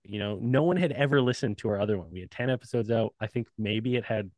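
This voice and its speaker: A man's voice: lowish voice, quick pace, a little mellow, no echo. A man chatting casually as a podcast guest, laid-back and profane, laughing often between thoughts. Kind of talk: casual chat.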